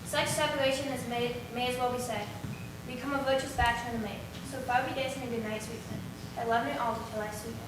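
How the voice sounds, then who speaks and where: A woman's voice: on the high side, steady tone, fast, close to an everyday voice. A woman playing a modest, affectionate young maiden in a Shakespearean verse play on stage. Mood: gentle, coy. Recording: very echoey distant hall, clear background noise.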